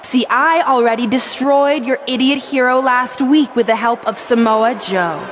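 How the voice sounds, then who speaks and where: A woman's voice: fairly high pitch, a little slow, a little hiss or hum, lightly acted. A woman playing a vain, scheming wrestling villain in a video game, giving a smug, mocking, theatrical promo. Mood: smug gloating.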